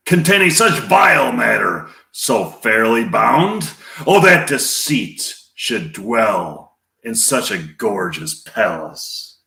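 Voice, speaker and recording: A man reading the part of an impatient, lovesick young girl in verse, earnest and a little halting. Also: mid-range voice, sharp tone, heavily acted. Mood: bitter. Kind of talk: amateur Shakespeare reading. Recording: echoey room.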